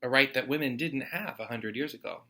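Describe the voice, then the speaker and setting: A man's voice: mid-range voice, fairly quick, vocal fry, pushed and bright. An American man voicing earnest, eager schoolchildren from a picture book, with an emphatic delivery. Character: earnest, eager schoolchildren urging grown-ups to vote.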